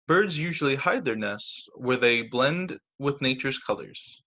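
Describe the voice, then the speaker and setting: A man's voice: medium pitch, unhurried. A man reading picture books aloud to children as a teacher, expressively, with casual asides about the pictures. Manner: expressive, acts out quoted lines, comments on the pictures. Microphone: video call.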